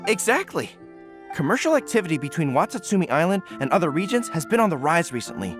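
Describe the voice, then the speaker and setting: A man voicing an earnest, modest young army general in a video game, boyish and a little awkward and excitable. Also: fairly high pitch, quick pace, over music. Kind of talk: video game cutscene acting.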